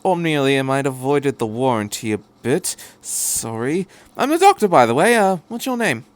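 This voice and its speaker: A man's voice: mid-range voice, sing-song, fairly quick, close to an everyday voice. A man voicing a cheerful, chatty, eccentric male time traveller who rambles breezily in a fan fiction reading.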